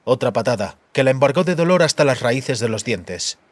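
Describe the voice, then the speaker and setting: A man narrating fiction as a dramatized audiobook, with deliberate, dramatic phrasing. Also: medium pitch, animated, a little hiss or hum.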